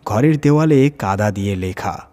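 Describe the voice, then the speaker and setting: A man reading a scripted horror story dramatically, with suspenseful pauses and emphasis. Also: fairly low pitch, animated, darkish tone. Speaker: horror story reader and channel host.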